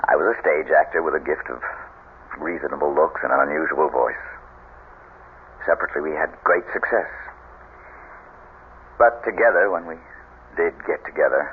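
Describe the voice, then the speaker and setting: A man playing a weary, embittered husband and former stage star in a radio drama, halting and theatrical in delivery. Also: extremely low pitch. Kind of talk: 1970s radio drama acting. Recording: noisy, phone-like old radio broadcast.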